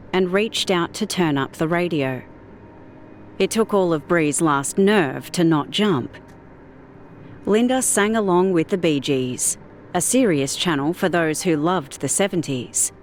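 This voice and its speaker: Slow, low-pitched woman narrating fiction. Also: a little hiss or hum. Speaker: audiobook narrator.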